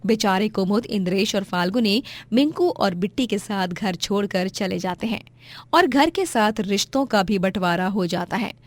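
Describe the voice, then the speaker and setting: A woman's voice: mid-range voice, expressive, moderate pace. A woman reading scripted moral-story narration as the storyteller.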